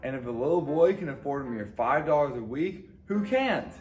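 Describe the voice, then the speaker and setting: A man's voice: fairly high pitch, animated, moderate pace, faint music behind, natural voice. An actor playing a cheery, folksy male Santa's helper and salesman in an old-time radio advertisement. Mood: cheery, salesy.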